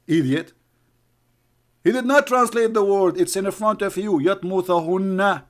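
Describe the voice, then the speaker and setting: Guy ranting, mocking and sneering, kinda high voice. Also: normal speed. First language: Arabic. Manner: mocking, scornful, calls names. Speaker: online commentator. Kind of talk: heated argumentative commentary.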